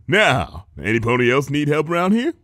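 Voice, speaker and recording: A man's voice: on the high side, big pitch swings, normal speed, a bit bright, no room sound, clean studio sound. A man voicing a cocky, easygoing male pegasus in a story reading, casual and swaggering. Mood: self-satisfied.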